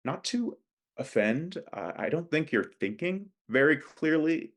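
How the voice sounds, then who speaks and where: A man playing an anxious, housebound high school boy who speaks carefully and with concern. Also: big pitch swings, a little slow. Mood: uneasy, evasive.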